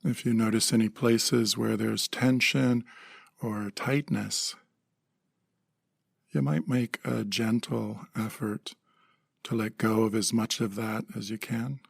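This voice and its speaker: A man guides a meditation calmly, leaving pauses between short phrases. His voice is low and very husky with a lot of creak, and it stays even. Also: no echo, studio quality. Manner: calm, gentle, measured phrasing with pauses.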